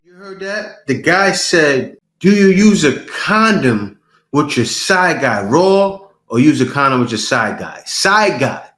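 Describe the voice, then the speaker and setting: A man hosting a casual reaction video, commenting on clips in an animated, incredulous way and repeating phrases for emphasis. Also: on the high side, slow, roomy sound.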